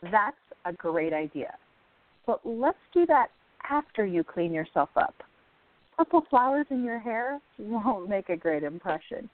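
A woman playing an affectionate, sensible young art student in a radio drama, gently chiding as she talks. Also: mid-range voice, very animated, unhurried. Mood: amused, fond. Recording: dry, thin phone-like band.

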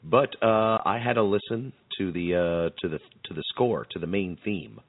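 A man co-hosting a show in casual chat, halting and repeating words as he thinks. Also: low-pitched. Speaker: radio show co-host. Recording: narrow phone-like band.

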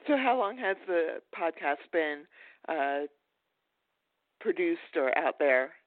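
A woman hosting a conversational podcast interview, with hesitant, halting phrasing. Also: deep voice, big pitch swings, no room sound. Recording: thin phone-like audio.

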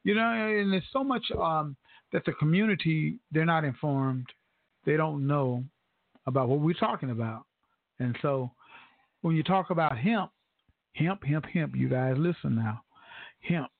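A man's voice: fairly high pitch, unhurried, vocal fry, dry recording. A man hosting a casual talk show, chatty and prompting, often repeating his guest's words. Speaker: host. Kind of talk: casual interview chat. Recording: dry tinny phone-like sound.

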